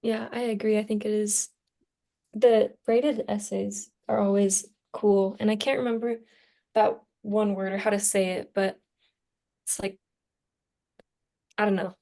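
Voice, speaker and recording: A woman's voice: medium pitch, little pitch movement, a little slow, pauses often, warm tone. A young woman in a casual student podcast discussion, informal and often searching for her words. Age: young adult. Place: remote internet call.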